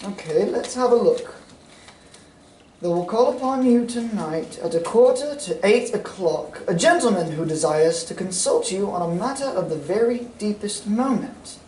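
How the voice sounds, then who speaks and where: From a distance in an echoey room with faint noise, a man plays a loyal gentleman physician in a radio play. He is polite, earnest and curious. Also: sits high, animated, normal speed. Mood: friendly, curious. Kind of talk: stage reading of a golden-age radio drama. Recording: distant roomy stage recording, faint noise.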